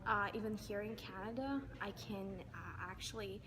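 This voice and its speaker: A woman from Kazakhstan, a student, giving measured interview answers with occasional fillers. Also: medium pitch, background noise. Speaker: university student.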